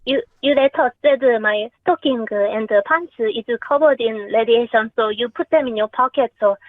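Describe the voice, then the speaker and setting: A woman voicing a polite, earnest young Japanese caller with a Japanese accent and halting broken English over a phone line. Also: fairly high pitch, no room sound, natural voice.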